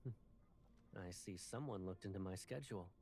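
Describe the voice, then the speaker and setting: An actor playing a composed, attentive military officer and love interest in a video game. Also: male voice, low voice, a little fast, darkish tone, breathy edge. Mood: calm, attentive. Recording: background music under the voice.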